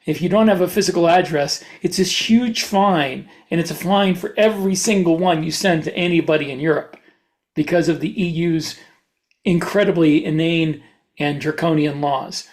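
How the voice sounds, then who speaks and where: A man with a noticeably high voice chats as a podcast co-host. His delivery is casual and conversational, and he comes across as blunt and opinionated. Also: normal speed.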